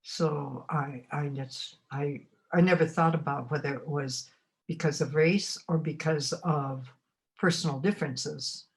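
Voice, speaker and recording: A woman in her eighties from Montana, recalling her life in an oral history interview. She talks unhurriedly and reflectively, often pausing to find her words. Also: sits low, steady tone. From Montana, United States. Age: 80s.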